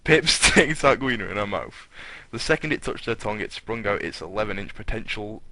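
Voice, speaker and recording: Guy with a lowish but bright forceful voice reading fanfic out loud, sweary, keeps stopping to comment. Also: expressive, fairly quick, no echo. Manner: irreverent, foul-mouthed, breaks off to comment. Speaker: narrator of a fan fiction reading. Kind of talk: dramatic reading with casual banter.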